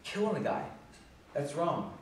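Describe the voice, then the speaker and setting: Man acting high-pitched agitated clerk, echoey stage. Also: animated. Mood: pleading, resentful. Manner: rambling, halting, slangy, swinging from muttering to outbursts. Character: agitated, bitter working-class male bookkeeper confessing a killing. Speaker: stage actor. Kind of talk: theatrical stage monologue. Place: theatre stage. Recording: echoey distant stage recording, faint noise.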